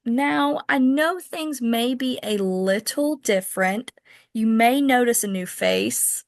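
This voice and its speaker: High-voiced woman, close dry sound. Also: slow, no echo. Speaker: podcast host. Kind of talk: casual chat.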